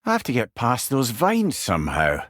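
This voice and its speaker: A witty, learned older Scottish man as a talking severed head in a video game. His delivery is animated and wry, with eloquent old-fashioned turns of phrase, recorded very dry and close. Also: mid-range voice, normal speed, no echo. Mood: wry, advising. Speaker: companion character in a video game. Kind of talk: video game character dialogue.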